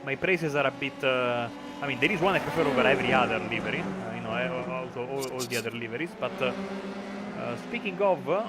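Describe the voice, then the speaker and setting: A man's voice: medium pitch, expressive, fast talker. A man commenting on a sim racing broadcast in a language that is not his native one, chatty and hesitant, with many fillers. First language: not English. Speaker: race commentator. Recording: loud background car noise. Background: race car engine sounds.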